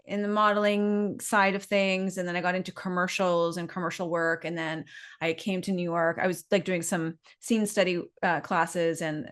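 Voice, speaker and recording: A middle-aged woman with a Canadian accent, telling her story in a chatty, meandering, informal way as an interview guest. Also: lowish voice. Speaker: business owner being interviewed.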